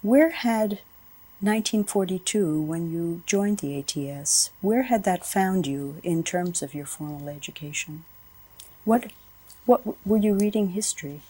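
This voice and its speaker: A woman's voice: on the low side, measured pace, warm tone, rough recording. A woman interviewing a historian, putting long, carefully framed questions about her education and career.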